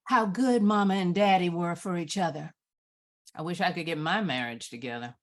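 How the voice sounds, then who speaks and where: A wistful, grieving daughter played by a woman in a script read. Her voice sits somewhat low for a woman. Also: moderate pace, close to an everyday voice. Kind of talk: live dramatic script read.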